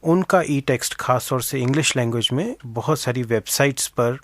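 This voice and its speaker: Indian male interview guest, mid-pitched, methodical explainer who code-mixes English, close dry recording. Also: normal speed, no room sound. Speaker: interview guest, organisation director.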